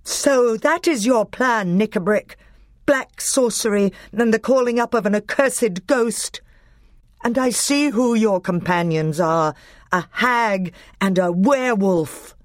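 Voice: mid-range voice, sing-song, moderate pace, few pauses, husky, dry recording, clean studio sound, pitched above the performer's own voice. A narrator playing a proud, earnest young boy king who speaks in formal, ringing phrases. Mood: thundering outrage.

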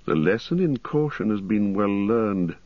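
A man's voice: on the low side, expressive, a little slow, dry recording, phone-quality sound, voice pushed up. A man reading a grim stern ranger. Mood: grim, firm. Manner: grave, firm.

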